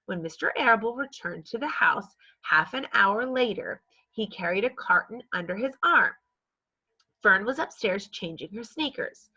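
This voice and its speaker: A woman reading a children's story aloud in a measured, scripted narrating style. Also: medium pitch, animated, sharp tone, slightly rough, highs cut off. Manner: measured, acts out dialogue. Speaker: storybook reader.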